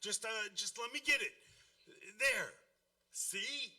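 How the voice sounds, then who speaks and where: A man's voice: very high voice, animated, slow pace, pushed and bright, heavily acted. A man playing a blustery, impatient family dad in a radio play, exclamatory and stammering when flustered. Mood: flustered, stubborn.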